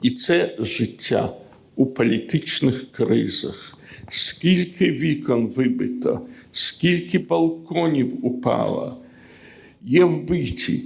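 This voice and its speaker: Man, Russian accent, poet at a reading, slow, measured, creaky. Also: medium pitch. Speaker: poet reading his work. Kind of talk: poetry reading. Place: gallery reading event.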